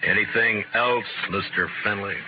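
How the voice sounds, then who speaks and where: An American actor playing a steady, principled frontier marshal in a 1950s radio western, terse and dry. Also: male voice, lowish voice, normal speed, background noise, close to an everyday voice. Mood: firm, dry. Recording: muffled old radio recording, music behind.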